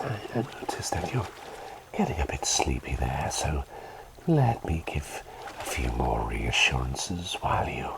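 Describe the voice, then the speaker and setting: A man playing a gentle, doting plague doctor in an ASMR roleplay, speaking in soothing, slightly formal, breathy whispers. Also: extremely low pitch, exaggerated voice. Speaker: ASMR performer.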